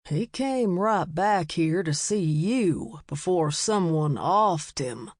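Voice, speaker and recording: A woman voicing a stubborn, worried small-town man in an audiobook, speaking gravely and deliberately. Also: lowish voice, lively intonation, darkish tone, no room sound, clean studio sound, heavily acted.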